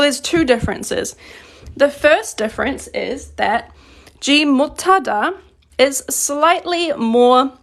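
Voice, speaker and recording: Woman teaching grammar, halting ums. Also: high-pitched. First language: English. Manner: halting, thinking aloud with frequent ums. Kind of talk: live-streamed grammar lesson.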